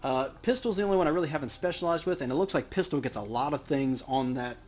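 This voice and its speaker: A man's voice: a little high, quick pace, darkish tone. A man hosting a let's play, giving casual, playful commentary on the game. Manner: joking, playful. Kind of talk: casual gameplay commentary and read-aloud. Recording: game music under the voice.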